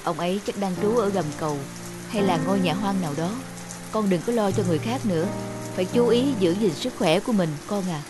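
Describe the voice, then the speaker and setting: A woman's voice: medium pitch, a little fast, lightly acted. A woman voicing a protective, earnest father of a sick child in a cartoon. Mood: worried, reassuring. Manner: admonishing, parental, earnest. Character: stern, protective, worried father of a sick boy. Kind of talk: cartoon dubbing. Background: background music.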